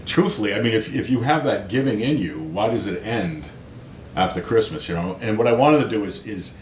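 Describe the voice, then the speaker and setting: Deep voiced guy being interviewed, emphatic and conversational, echoey, bit of rumble behind. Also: lively intonation, a little fast. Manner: earnest, emphatic, checks in with "right?". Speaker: author, interview guest. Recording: roomy echo, low rumbling background noise.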